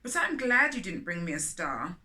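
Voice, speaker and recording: A woman's voice: on the low side, sing-song, moderate pace, bright, forceful tone, full character voice. A woman voicing a kindly big male rocket in a children's storybook, concerned and praising like a parent. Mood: kindly praise.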